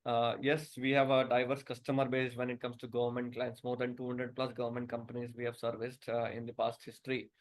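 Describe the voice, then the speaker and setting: A man with an Indian accent giving a business presentation as a company director, halting and often repeating words. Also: mid-range voice, monotone.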